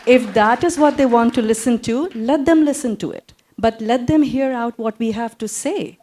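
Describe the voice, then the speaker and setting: A woman's voice: on the high side, moderate pace, mellow. A woman, an academic making an impassioned, emphatic case on a panel and repeating phrases for weight. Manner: emphatic, repeats phrases, mixes English and Urdu.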